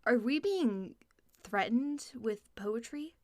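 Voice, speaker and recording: Woman as curious guard, slightly high voice. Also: animated, slow pace, darkish tone, dry recording, clean studio sound. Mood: incredulous. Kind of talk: audio drama acting.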